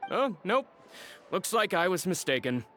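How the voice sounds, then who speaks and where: A man's voice: high-pitched, big pitch swings, measured pace, fairly bright tone, soft background music, heavily acted. An actor playing an evasive, polite male animal shelter director in a video game. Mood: polite, apologetic. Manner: hesitant, explaining, polite. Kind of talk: English game dub dialogue.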